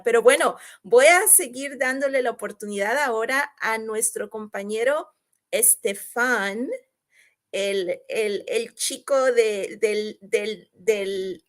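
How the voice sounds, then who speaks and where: A woman with a fairly high voice hosts an online video call. She talks in an upbeat, encouraging way, often restarting her phrases as she pitches a business opportunity. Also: a bit bright. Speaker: host and business coach.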